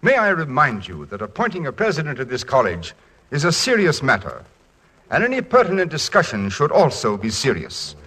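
An actor playing a formal, orderly college board chairman in 1940s radio, with a pompous, measured manner. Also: a little high, wildly swooping pitch, pushed and bright, breathy edge, heavily acted. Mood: calling to order. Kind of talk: 1940s radio comedy acting.

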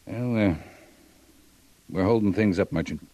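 A man's voice: lowish voice, measured pace, mellow, close to an everyday voice. A man playing a stern, curt cavalry captain in an American radio western, clipped and commanding. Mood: gruffly restrained. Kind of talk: old-time radio western acting. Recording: dull vintage radio recording.

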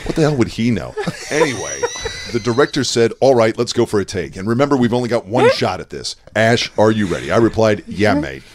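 A man with a low voice reads his own blog aloud as one of the hosts of a comic podcast. He delivers it dry and deadpan, in a flat narrating tone. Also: brisk, slight background noise. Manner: dry deadpan reading, breaks into laughs. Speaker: podcast co-host.

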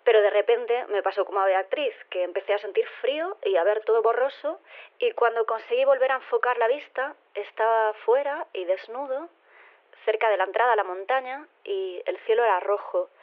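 An actress playing a polite body-swapped caller, chatty and rambling, through a telephone filter. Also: female voice, on the high side, a little fast. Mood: chatty, earnest. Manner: chatty, rambling, self-correcting. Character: chatty, polite man living inside a woman's body. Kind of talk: naturalistic phone interview in audio drama. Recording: narrow, tinny phone line.

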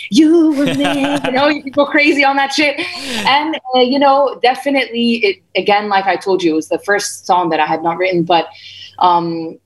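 A woman's voice: fairly high pitch, normal speed, poor audio. A woman singer-songwriter being interviewed, chatting casually in an effusive, slangy way as she tells stories. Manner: effusive, slangy, animated storytelling. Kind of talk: casual chat, radio interview. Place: remote video call.

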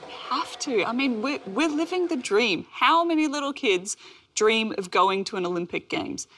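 A woman's voice: a little high, lively intonation, moderate pace, a bit bright, a little hiss or hum. A woman, answering interview questions in an earnest, reflective way. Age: 20s. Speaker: interview guest, athlete.

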